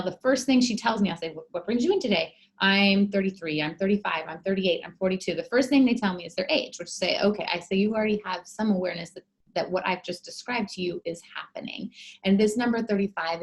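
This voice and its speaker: A woman fertility doctor giving an educational talk, explaining clearly with frequent fillers. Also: lowish voice, low-quality recording.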